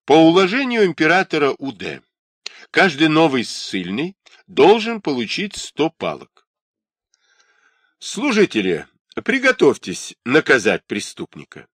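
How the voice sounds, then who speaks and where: A man's voice: a little high, wildly swooping pitch, measured pace, a bit bright, slightly rough, no room sound, voice pushed up, heavily acted. A man voicing a stern, curt male camp commander who gives official orders.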